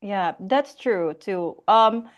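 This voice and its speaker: A woman's voice: medium pitch, very slow, dull audio. A Filipino woman hosting a casual live Q&A, reading out viewer questions and laughing often. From Philippines. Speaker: host of a live Q&A.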